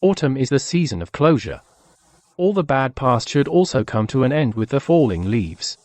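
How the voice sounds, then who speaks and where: An actor playing a devoted, calm and protective grown son in a dubbed melodrama. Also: male voice, mid-range voice, normal speed, no room sound, lightly acted. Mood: reflective.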